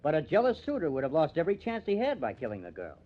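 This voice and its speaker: A man's voice: a little high, wildly swooping pitch, quick pace. An actor playing a brash, sarcastic working-class man in an old-time radio crime mystery, talking in blunt, slangy wisecracks. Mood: suspicious. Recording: dull, lo-fi old radio recording.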